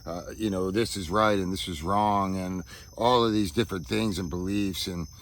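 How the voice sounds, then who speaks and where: A man giving a reflective personal monologue as a vlogger, halting as he searches for words and often checking in with a casual tag question, recorded outdoors. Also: low-pitched, fairly even intonation. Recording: outdoor ambience with insects chirping.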